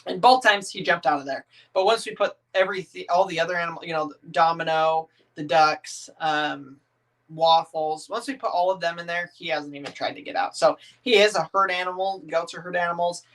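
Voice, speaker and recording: A man's voice: fairly high pitch, fairly quick, a bit bright. A man in his thirties from the northern United States, answering viewer comments in a loose, rambling, easygoing way with plenty of filler words. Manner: rambling, easygoing, many "um"s and restarts; reads viewer comments aloud and answers them. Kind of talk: casual livestream chat.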